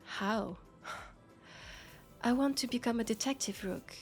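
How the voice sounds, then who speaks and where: A woman plays a sharp young sci-fi policewoman with a French accent, scolding and caring at once. She speaks slowly and deliberately, probing with exasperation, over faint music. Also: fairly high pitch. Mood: probing, confident. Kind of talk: intimate scripted roleplay audio drama.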